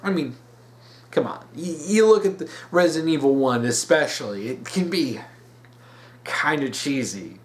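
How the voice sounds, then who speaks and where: A man's voice: on the high side, lively intonation, moderate pace, background noise. A man hosting an opinion series, speaking bluntly and emphatically and repeating phrases to drive points home. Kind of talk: opinionated commentary.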